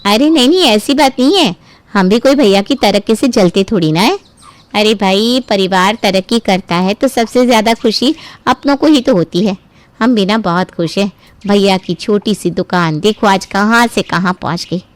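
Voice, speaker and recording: A woman's voice: medium pitch, moderate pace, dry recording, natural voice. A woman voicing a greedy, scheming younger sister-in-law in a family cartoon story, ingratiating and smug.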